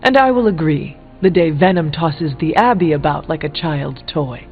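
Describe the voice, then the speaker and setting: A thin, band-limited recording with faint music holds a young warrior from a video game. The voice is a little high. He is solemn, stoic and formal in his speech. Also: animated, normal speed, phone-quality sound. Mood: offended. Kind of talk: scripted video game acting.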